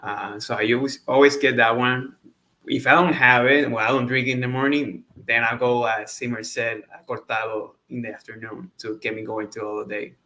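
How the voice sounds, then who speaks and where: Puerto Rican man, Spanish first language, 30s, crisp bright tone, halting guest answers. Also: mid-range voice, fairly even intonation. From Puerto Rico. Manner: halting, repeats words as he goes. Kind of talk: casual interview answers.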